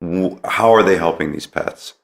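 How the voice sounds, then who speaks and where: A man's voice: deep voice, moderate pace, vocal fry. A man hosting a podcast, interviewing his guest in a casual, conversational way. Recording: roomy, echoey sound.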